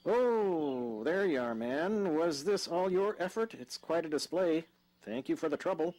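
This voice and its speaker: A man's voice: a little high, animated, measured pace, a little mellow, poor audio. A man playing a practical, wry young houseguest in an audio drama, with a dry conversational manner. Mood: puzzled.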